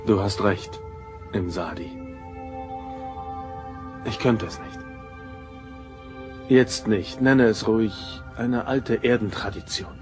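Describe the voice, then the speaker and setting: A man's voice: fairly low pitch, slow pace, long pauses. An actor playing a serious, emotionally wounded male first officer in a radio drama. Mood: hurt, resigned. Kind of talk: German radio play acting. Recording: loud music behind radio drama.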